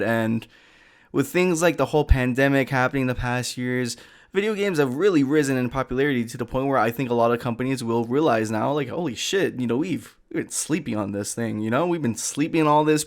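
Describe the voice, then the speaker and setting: A man's voice: mid-range voice, a little fast. A man delivering comedic scripted commentary with casual asides, in a sarcastic, exasperated and sometimes profane way. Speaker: video essayist.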